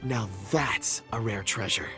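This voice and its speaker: A man's voice: lowish voice, measured pace, lightly acted. A man playing a blunt, enthusiastic rock expert in a video game, with eloquent, slightly theatrical delivery. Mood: impressed, enthusiastic. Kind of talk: scripted video game dialogue. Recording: music playing behind the voice. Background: game background music.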